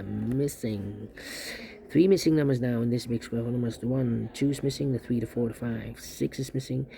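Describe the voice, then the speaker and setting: Male tutorial presenter: relaxed, creaky voice of average pitch, methodical step-by-step delivery with muttered repetition. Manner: repetitive, methodical, muttering to himself while working. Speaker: puzzle instructor. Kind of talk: step-by-step Sudoku tutorial commentary, thinking aloud.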